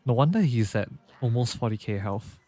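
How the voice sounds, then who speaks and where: A man's voice: medium pitch, animated, moderate pace, mellow. A man giving casual gameplay commentary, stumbling a little as he reads game text aloud, with music behind him. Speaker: livestreamer.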